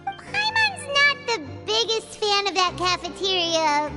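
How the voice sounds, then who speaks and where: A woman voicing a small, chatty fairy-like girl companion in a video game, blunt and curious, with a squeaky childlike voice. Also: huge pitch swings, a little slow, exaggerated voice. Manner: blunt, curious, talks of herself in third person. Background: game music.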